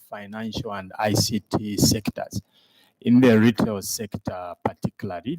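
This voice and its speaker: A man gives a formal statement as a spokesperson, in a halting, measured way. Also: lowish voice, a little mellow, no room sound, rough recording. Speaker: commission spokesperson.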